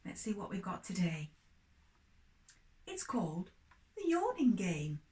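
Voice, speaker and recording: An older woman narrates a story for young children. She takes her time, stretching words expressively for her little listeners. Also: medium pitch. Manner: expressive, addressing small children, drawn-out words.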